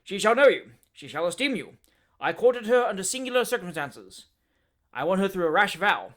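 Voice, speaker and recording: A man voicing a fierce, blustering former army man in middle age, speaking loud, short, abrupt, jerking sentences. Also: high-pitched, big pitch swings, moderate pace. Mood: boastful.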